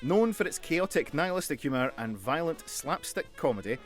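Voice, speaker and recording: A man's voice: a little high, expressive, fairly quick, faint music behind. A middle-aged man co-hosting a radio show, chatting casually and jokily between bits of read-out trivia. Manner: jokey, chatty.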